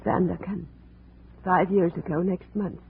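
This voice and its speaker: A woman's voice: on the low side, steady tone, vocal fry. A woman playing a haunted, lonely wife in a 1950s radio drama, formal and melodramatic in her speech. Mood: mournful. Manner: slow, formal, melodramatic, without contractions. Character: brooding, lonely abandoned wife, haunted and intense. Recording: tinny, noisy old radio recording.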